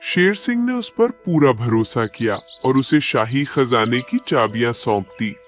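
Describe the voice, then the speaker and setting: A man narrating a scripted animated animal story as a storyteller. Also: mid-range voice, measured pace, soft background music.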